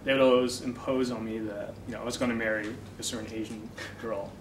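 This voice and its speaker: A young man who grew up in the Netherlands, a dating coach, explaining ideas in a relaxed interview with many fillers. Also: lowish voice, quick pace. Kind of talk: casual interview talk. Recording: echoey room from far off, noisy.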